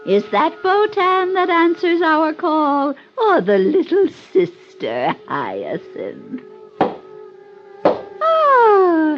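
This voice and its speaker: An actress playing a grandiose middle-aged phony spirit medium, intoning melodramatically in old-time radio drama style. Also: female voice, high voice, full character voice. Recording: muffled old radio recording, organ-like music behind.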